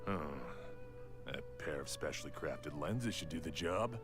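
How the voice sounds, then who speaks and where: A doubtful, lively-voiced blacksmith. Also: male voice, rumbling low, heavily acted. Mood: skeptical. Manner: doubtful, measured. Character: skeptical middle-aged male blacksmith. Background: game music.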